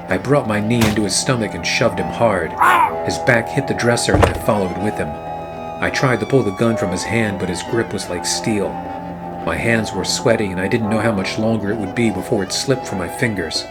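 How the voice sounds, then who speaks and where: A man playing a wry, hard-boiled private eye and war veteran, narrating a noir radio play in a terse, deadpan way. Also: lowish voice, normal speed, natural voice. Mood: tense. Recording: music behind the voice. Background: music.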